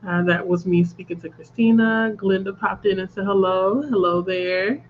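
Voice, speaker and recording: A woman's voice: mid-range voice, normal speed, narrow, tinny audio, slight background noise. A congested woman chatting casually as host of a reading livestream and laughing at her own jokes. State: congested from a cold. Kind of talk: casual chat. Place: at home.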